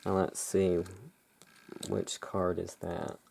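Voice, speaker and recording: A person giving a relaxed, musing ASMR commentary as a tarot reviewer, talking in an unhurried, unscripted way. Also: deep voice, gravelly, a little hiss or hum. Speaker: tarot reviewer in a roleplay as emporium owner.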